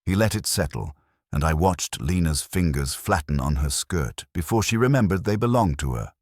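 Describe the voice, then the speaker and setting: A man with a very low, husky voice narrates detective fiction. His pitch moves about in a lively way, and the sound is dry and close, with a scripted audiobook delivery. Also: moderate pace, no echo.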